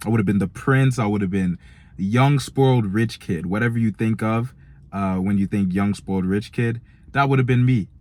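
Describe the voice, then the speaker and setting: A man's voice: on the low side, moderate pace, a little mellow. A nineteen-year-old man with an American accent talking casually in a motivational vlog, slangy and speaking directly to his listeners. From Nigerian-born, grew up in America from age four. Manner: slangy, direct address to young men, "bro" and "man". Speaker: motivational vlogger.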